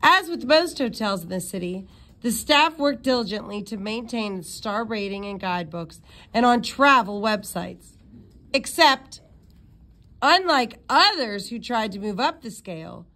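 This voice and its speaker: A woman reading a children's novel aloud in an expressive storytelling manner, now and then stumbling and re-reading words. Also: medium pitch, measured pace. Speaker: read-aloud narrator.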